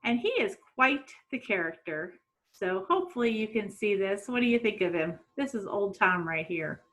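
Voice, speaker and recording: A middle-aged woman, a teacher doing an expressive storytime for children and putting questions to young listeners. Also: medium pitch, normal speed, poor audio. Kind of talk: read-aloud storytime for children. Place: small room at home.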